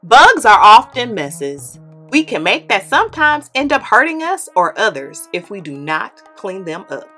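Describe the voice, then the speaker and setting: A young woman, host of the channel, reading a children's picture book aloud in an expressive, animated way. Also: medium pitch, a little slow, soft background music. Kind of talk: read-aloud storytelling. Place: indoor studio with plain orange backdrop.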